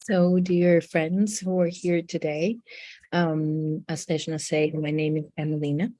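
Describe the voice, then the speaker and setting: A woman from Costa Rica with a Costa Rican Spanish accent, telling an earnest, measured story on a video call. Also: low voice, level tone, mellow, dry recording. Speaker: community member. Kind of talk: prepared remarks on video call.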